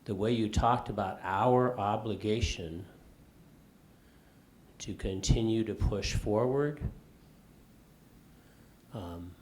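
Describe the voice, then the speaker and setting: Middle-aged male faculty member; booming, heartfelt floor remarks, low-pitched and slow. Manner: loud, emphatic and heartfelt.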